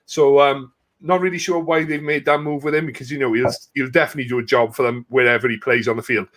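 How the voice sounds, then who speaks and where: A man hosts a live sports chat in a casual, matey way. His voice sits at a medium pitch. He talks chattily, addressing others as friends and asking for their views. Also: steady tone, fast talker, a bit bright. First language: not French. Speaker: host of a live rugby watch-along.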